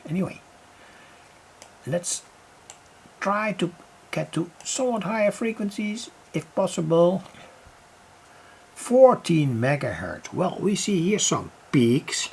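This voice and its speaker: Slow-spoken man with a gravelly creak. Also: fairly high pitch, slight background noise. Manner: halting, thinking aloud while operating. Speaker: amateur radio hobbyist demonstrating a receiver. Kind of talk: unscripted vlog demonstration.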